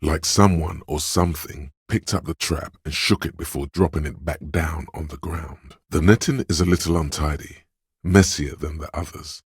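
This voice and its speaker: A wry, watchful inner sense of perception from a game, speaking in an extremely low, heavily husky voice. He notes small details in measured, dry observations, close to the mic with no room sound. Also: steady tone, no echo, full character voice. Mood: observant. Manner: measured, observant, dryly descriptive. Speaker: voice of a detective's perception skill. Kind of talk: scripted game voice lines.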